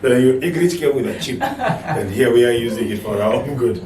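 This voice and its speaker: A man with a Kenyan accent hosting a casual interview, encouraging his guest and often chiming in with quick agreement. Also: mid-range voice, animated, a little mellow. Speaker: talk show host. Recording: roomy, distant mic, faint noise.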